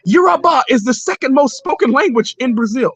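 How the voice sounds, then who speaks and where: A man's voice: very high-pitched, expressive, fairly quick, a bit bright, husky, no room sound. A man hosting a livestream, arguing heatedly and repeating himself, sometimes swearing. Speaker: livestream host. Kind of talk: heated casual debate.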